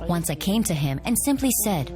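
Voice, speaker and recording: A woman reading a scripted documentary voice-over with an expressive delivery. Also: fairly low pitch, brisk. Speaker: voice-over translator. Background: music.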